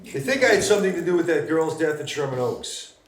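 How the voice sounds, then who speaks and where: A man playing a defensive, rattled singer-songwriter suspect with halting delivery in a live screenplay table read. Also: fairly high pitch, expressive, echoey room, close to an everyday voice. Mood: panicked, pleading.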